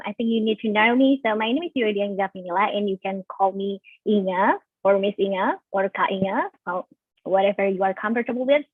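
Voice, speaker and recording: A woman's voice: medium pitch, no room sound. A woman in her twenties with an Indonesian accent, giving a casual webinar talk with frequent ums and restarts, mixing English and Indonesian. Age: 20s. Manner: hesitant, many ums and restarts, switches between English and Indonesian. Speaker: webinar speaker. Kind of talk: casual online presentation. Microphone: video call. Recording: tinny phone-like call audio.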